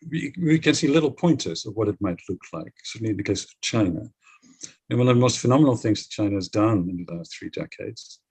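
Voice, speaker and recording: A middle-aged Dutch-native man speaking on a discussion panel, his voice low-pitched and a bit husky. He argues his points bluntly and emphatically.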